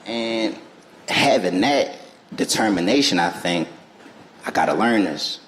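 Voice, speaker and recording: A man's voice: deep voice, lively intonation, unhurried, a bit bright, big-room sound, slight background noise. A man speaking as a guest on a live festival panel, earnest and emphatic, with informal phrasing. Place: festival stage before an audience.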